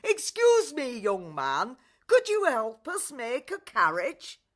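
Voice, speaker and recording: Fairy godmother, played by a woman, bossy, brisk, swooping pitch, radio play. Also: huge pitch swings, a bit bright, full character voice. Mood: brisk, commanding.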